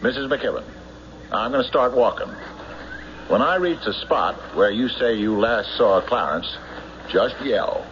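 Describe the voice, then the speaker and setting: An actor playing a stolid, skeptical sheriff in an old radio drama, speaking in a formal, deliberate, official manner. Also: male voice, medium pitch, lively intonation, close to an everyday voice. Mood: puzzled, searching. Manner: official, deliberate, hesitant questioning. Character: stolid, methodical male county sheriff, formal and doggedly skeptical. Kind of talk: old-time radio drama acting with police-report narration. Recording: noisy, muffled vintage radio recording.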